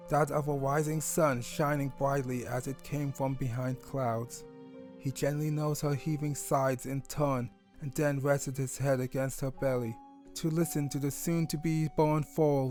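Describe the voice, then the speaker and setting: Male storyteller, mid-pitched, measured scripted narration with light music underneath. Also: a little mellow.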